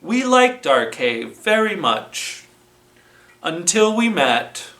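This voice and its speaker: A children's library storytime presenter reading a story aloud in a deliberate, expressive way, recorded in a roomy space at some distance from the microphone. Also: medium pitch. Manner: slow, deliberate, expressive read-aloud delivery. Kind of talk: animated storytelling with felt board.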